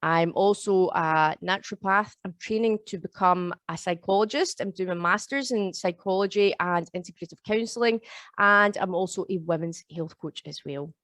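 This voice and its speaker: A woman's voice: lowish voice, moderate pace, a bit bright, no room sound. A woman in her thirties with a Glasgow accent, leading a live online yoga teacher training and checking in often with her students. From Scotland. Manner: chatty, keeps checking in with "okay?" and "all right?". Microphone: video call.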